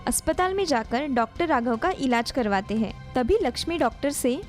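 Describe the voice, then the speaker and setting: A woman's voice: sits high, lively intonation, moderate pace, faint music behind. A woman reading scripted narration for a cartoon family story, as a storyteller.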